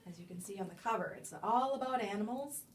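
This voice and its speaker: A woman reading a children's picture book aloud as a storyteller, with expressive, emphatic delivery and dramatic pauses. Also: medium pitch, a little hiss or hum. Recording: distant microphone.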